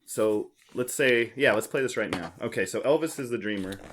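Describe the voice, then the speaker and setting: A man's voice: fairly low pitch, normal speed, a bit bright, a little hiss or hum. A man hosting a casual board game podcast, explaining in a teasing, jokey way. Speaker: podcast host.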